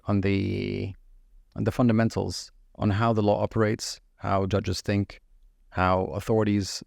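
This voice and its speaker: A middle-aged man from the UAE speaks with a low, gravelly voice. He answers like a practiced lawyer, measured and steady, though he often stops on fillers and restarts phrases. The sound is dry and close. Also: no echo. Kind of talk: podcast interview answers.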